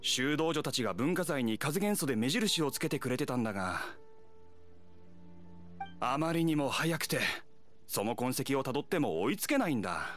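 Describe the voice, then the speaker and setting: A man's voice: medium pitch, sing-song, normal speed, fairly bright tone. A man playing a dutiful, officious young town knight in an anime game, speaking formally and earnestly. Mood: troubled, earnest. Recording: game music behind the voice.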